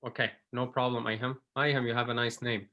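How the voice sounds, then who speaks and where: Man, English teacher, online class, video call, friendly, patient, middle pitch. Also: flat delivery, fairly quick, sharp tone, highs cut off. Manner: friendly, patient, loose with fillers.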